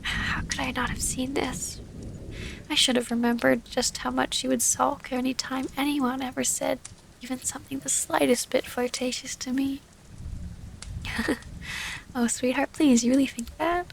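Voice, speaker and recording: A woman playing a loving, formal, wistful female vampire in an intimate ASMR roleplay, often breathy and close to a whisper. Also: high voice, normal speed. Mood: teasing, amused. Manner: formal, old-fashioned, intimate phrasing. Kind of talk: ASMR romantic audio roleplay. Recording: steady rain ambience, faint noise.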